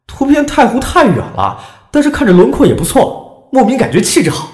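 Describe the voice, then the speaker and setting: A woman's voice: a little high, huge pitch swings, a little fast, a little mellow, reverberant. An actress playing a curious, starry-eyed female gossip fan in an audio drama, eager and exclamatory. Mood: impressed.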